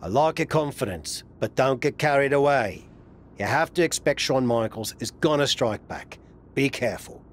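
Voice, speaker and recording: A man's voice: mid-range voice, lively intonation, normal speed, slight background noise, natural voice. An actor playing a cautioning, wry male advisor to a wrestler in a video game cutscene.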